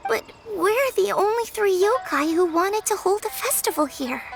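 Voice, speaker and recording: A young female yokai in a video game, flustered and earnest. The voice sits very high and speaks hesitantly over light music. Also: animated, moderate pace, vocal fry, heavily acted. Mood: earnest, confused.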